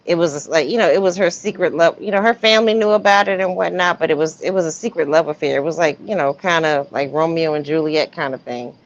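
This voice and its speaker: A woman hosting a casual live stream, commenting on messages in a blunt, slangy, animated way. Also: sits low, fast talker, hardly any pauses. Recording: dull, lo-fi stream audio.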